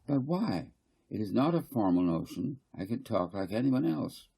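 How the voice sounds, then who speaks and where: Man reading as male town mayor, audiobook character, questioning, mildly exasperated, gravelly. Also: fairly low pitch, sing-song, normal speed, warm tone, pitched above the performer's own voice, exaggerated voice. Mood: defensive. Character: male small-town mayor, reasonable but defensive chairman.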